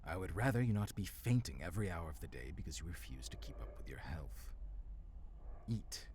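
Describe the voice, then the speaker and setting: A man's voice: low voice, very animated, brisk, a little mellow, a little hiss or hum. A man playing an ancient, menacing demon and frost giant tyrant in an audio drama, speaking formally and slipping into whispers. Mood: threatening. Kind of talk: dramatic audio roleplay acting.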